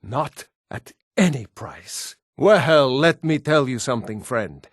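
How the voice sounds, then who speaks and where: An actor playing a fervent Nord preacher in a fantasy video game, declaiming with bombastic, drawn-out oratory. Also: male voice, mid-range voice, animated, breathy edge, no room sound, clean studio sound. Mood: indignant, scornful. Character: fervent Nord preacher zealously devoted to his god Talos.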